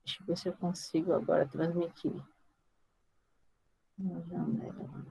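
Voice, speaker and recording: A woman's voice: on the low side, flat delivery, moderate pace, vocal fry, mellow, breathy edge, low-quality recording. Brazilian woman teaching an online class. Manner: questioning, checking on students. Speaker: mathematics teacher. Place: live online video class.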